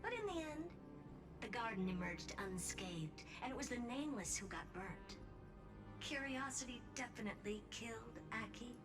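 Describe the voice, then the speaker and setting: A woman voices a breezy, haughty lady genius, rather high and lively in pitch. She is blunt and condescending in game dialogue, with music underneath. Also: moderate pace, close to an everyday voice. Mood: analytical, wry. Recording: music behind the voice.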